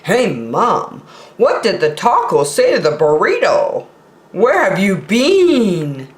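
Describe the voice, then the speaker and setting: A woman's voice: medium pitch, very animated, slow, reverberant. A woman voicing a proud, jokey, sloppy young boy from a children's storybook in a playful, eager manner.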